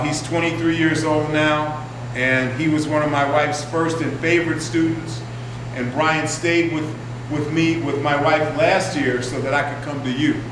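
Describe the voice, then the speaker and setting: Older man teaching, echoey distant room. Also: fairly high pitch. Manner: relaxed, rambling, warmly introducing. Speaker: martial arts teacher. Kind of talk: workshop talk to students. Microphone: far from the mic. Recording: echoey room from far off, hum.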